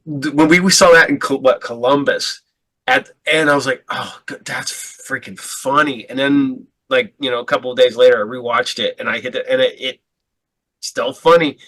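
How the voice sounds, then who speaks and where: A man's voice: mid-range voice, fairly even intonation, normal speed, a bit bright, low-quality recording. A male podcast host chatting casually, stammering over restarts and laughing often. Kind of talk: casual chat.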